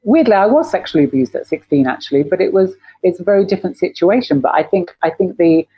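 A woman's voice: fairly low pitch, lively intonation, fast talker, mellow, breathy edge, no room sound. A middle-aged woman talking candidly as a podcast guest, repeating phrases for emphasis, on a dull recording. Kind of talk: candid conversational interview. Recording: dull lo-fi, dry close sound.

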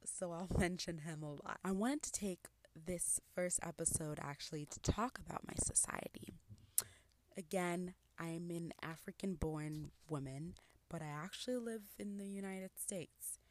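A woman's voice: lowish voice, a little slow, a little hiss or hum. A woman from Congo speaking earnestly and reflectively in a personal monologue as a podcast host. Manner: earnest, reflective, soft-spoken with occasional laughs.

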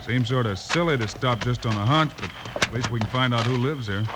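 A man playing a keen, steady cowboy hero in an old radio western, confident and matter-of-fact. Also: medium pitch, a little fast, natural voice. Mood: intent. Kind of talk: 1950s radio western acting. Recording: noisy old-time radio recording.

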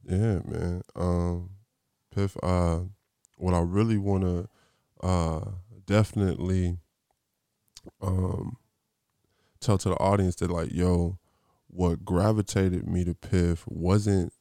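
A man's voice: low voice, flat delivery, slow pace, long pauses, dark tone, no echo, clean studio sound. A man hosting a podcast, telling a rambling, slangy story with lots of restarts. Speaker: podcast host. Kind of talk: casual interview intro.

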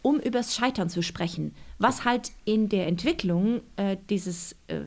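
A woman's voice: medium pitch, unhurried, creaky, darkish tone. A woman, an author being interviewed, talking casually and reflectively, with many fillers and restarts.

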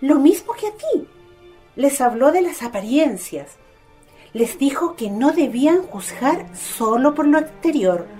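A woman playing a kindly, gently intrigued mother in a radio drama. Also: sits high, big pitch swings, measured pace, soft background music. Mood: intrigued, confiding.

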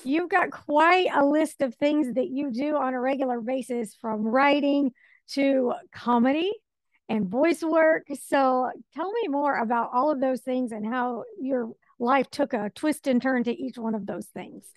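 High-voiced woman hosting an interview. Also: a little slow, no room sound, poor audio. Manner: friendly, asks long open questions. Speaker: podcast host.

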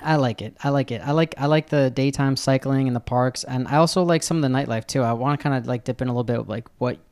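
A male podcast host in a loose, casual interview. He talks at an ordinary pitch for a man and rambles, stopping and restarting his questions as he goes. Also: fast, darkish tone, clear, resonant tone.

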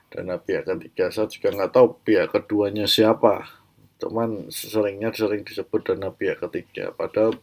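Male Indonesian educational presenter, lowish voice, mildly stuffed up with a cold, informal explanatory lecture delivery. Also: a little mellow. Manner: explanatory, checks in with short tags. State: congested with a mild cold. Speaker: presenter of an educational banking channel.

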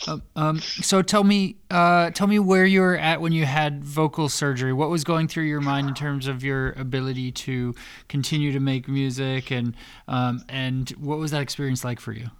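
A male podcast interviewer asking questions in a halting way, often rephrasing as he goes. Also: on the high side, flat delivery, clear, resonant tone.

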